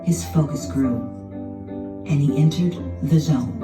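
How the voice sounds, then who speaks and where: A woman narrating a children's storybook in an expressive, dramatic scripted style. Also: low-pitched, a little slow. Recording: roomy, distant sound with music behind.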